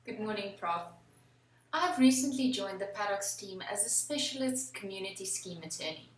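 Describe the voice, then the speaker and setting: A young woman with a South African accent and Afrikaans as her first language, an attorney giving formal, measured answers in an interview. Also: medium pitch, expressive, far from the mic. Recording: echoey room, away from the mic.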